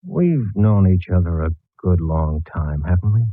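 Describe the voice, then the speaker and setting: Dry, low-voiced police inspector man. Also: big pitch swings, a little slow, no echo, exaggerated voice. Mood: slow, teasing. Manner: dry, laconic. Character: dry, teasing male homicide police inspector. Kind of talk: 1940s radio detective acting. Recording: muffled, thin vintage radio recording.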